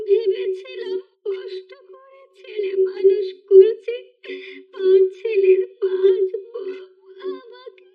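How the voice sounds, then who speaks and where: A woman voicing a meek, abused elderly mother in a moral cartoon, speaking slowly in a pleading, plaintive way. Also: very high voice, recorded from a distance. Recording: muffled, narrow phone-like sound.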